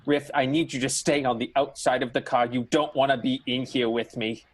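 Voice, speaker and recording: A man acting a harried, self-pitying vaudeville showman in an improvised radio soap, husky, weary and repetitive. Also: mid-range voice, flat delivery, normal speed, close to an everyday voice. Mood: despairing, flustered.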